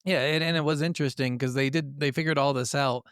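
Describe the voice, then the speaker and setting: A man hosting a casual gaming podcast, telling stories in an animated, conversational way. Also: on the high side, quick pace, clean tone, dry recording, clean studio sound. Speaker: podcast host.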